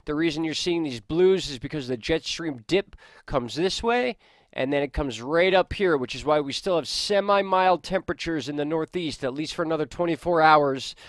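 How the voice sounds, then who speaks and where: A man's voice: fairly high pitch, no room sound, studio quality. A man with stuffy, congested sinuses hosting a casual weather and news vlog, talking loosely to viewers with plenty of fillers. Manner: loose, chatty, many fillers, addresses viewers as guys. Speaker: weather and news channel host.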